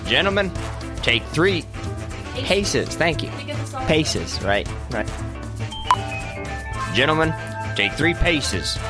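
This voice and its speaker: A man's voice: fairly high pitch, big pitch swings, takes it slowly, pauses often, music in the background. A man playing a folksy, exasperated old west lawman keeping order in a comedic radio play. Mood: slow-witted, folksy.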